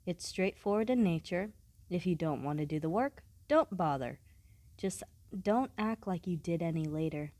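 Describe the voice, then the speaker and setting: A woman playing a blunt, aloof, studious student in a scripted audio roleplay, speaking in a deadpan way with formal wording. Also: fairly low pitch, no echo. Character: blunt, aloof, studious female student, coolly matter-of-fact.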